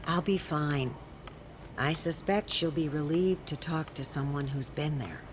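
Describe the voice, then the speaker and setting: An actress playing a caring, modest older woman in a video game, speaking in a gentle, motherly way. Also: low-pitched, unhurried, noisy background. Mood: kindly, caring. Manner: gentle, motherly, lightly teasing. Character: kindly older aunt, caring, modest shelter worker. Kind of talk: naturalistic game cutscene dialogue.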